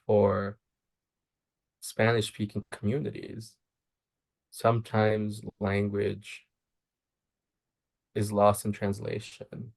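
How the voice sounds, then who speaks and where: A young man from Maryland, a social worker, giving earnest, measured interview answers and thinking aloud as he goes. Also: lowish voice, monotone, creaky, a little mellow. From Maryland, United States.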